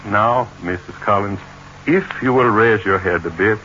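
An actor playing a disguised middle-aged portrait painter, formal and ingratiating, in an old radio play. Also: male voice, lowish voice, normal speed, bright, forceful tone. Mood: obsequious. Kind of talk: 1940s radio acting. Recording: muffled old radio recording, faint hiss.

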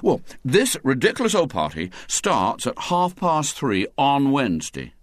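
A man's voice: medium pitch, huge pitch swings, measured pace, no room sound. A man voicing a defiant, scheming schoolboy ringleader who talks bluntly and bossily. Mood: eager. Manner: bossy, blunt.

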